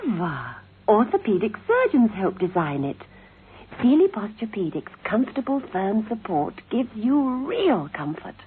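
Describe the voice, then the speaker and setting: A woman's voice: medium pitch, sing-song, normal speed, a little hiss or hum, lightly acted. An actress playing a reassuring, knowledgeable saleswoman in a scripted radio commercial. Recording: tinny vintage radio recording.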